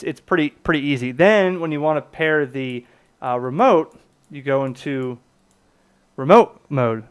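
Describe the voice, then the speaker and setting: A man's voice: mid-range voice, smooth. A man presenting a casual gadget demonstration, explaining things conversationally and often checking in with the listener. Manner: conversational, explanatory, poses questions to himself and checks in with "okay?". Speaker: product reviewer and presenter.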